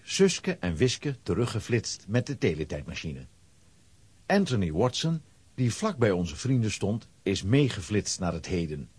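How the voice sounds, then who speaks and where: A man narrating a children's adventure story in a scripted, dramatic style, with a husky voice. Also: lowish voice, darkish tone, no echo.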